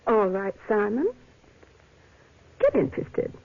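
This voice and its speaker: Expressive, insistent radio heroine. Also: female voice, mid-range voice, slow, soft background music. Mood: coy. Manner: crisp, insistent questioning. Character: persistent, exasperated young woman, the sleuth's companion. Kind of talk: 1950s radio drama acting. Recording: muffled 1950s radio recording.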